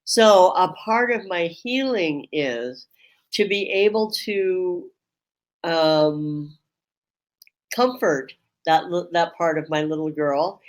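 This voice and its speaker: A woman Reiki teacher hosting a webinar, with a strong voice that carries across a room. She talks in a slow, measured way and pauses often with ums. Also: fairly low pitch. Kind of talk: reflective webinar talk. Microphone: webinar microphone.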